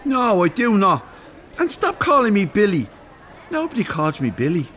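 An actor playing a blunt, teasing older Irish rogue in an audio drama, colloquial and cheeky. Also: male voice, sits high, wildly swooping pitch, moderate pace, fairly bright tone, some chatter behind, heavily acted. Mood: indignant.

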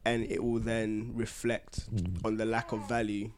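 A man's voice: on the low side, completely flat delivery, unhurried, slight background noise. A man chatting casually as a podcast panellist, with an informal, slangy and joking way of talking. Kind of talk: casual chat.

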